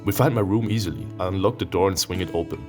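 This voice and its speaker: A man reading a visual novel aloud, expressive and playful, breaking into casual, profane asides. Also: sits low, fast. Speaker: let's player. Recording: music behind the voice.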